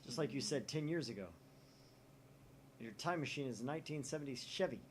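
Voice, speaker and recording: A man hosts a let's play, reading a visual novel aloud. He jumps between voices for each chat poster and swears casually. His voice sits at a middle pitch. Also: expressive, fairly quick, a little hiss or hum.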